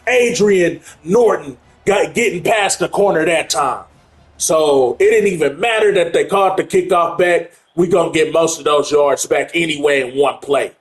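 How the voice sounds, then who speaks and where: A man doing casual play-by-play of his own football video game, his voice congested from allergies. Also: fairly high pitch. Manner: casual play-by-play, gets loud and excited on big plays. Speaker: gamer playing through a football game. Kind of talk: play-by-play commentary on own gameplay.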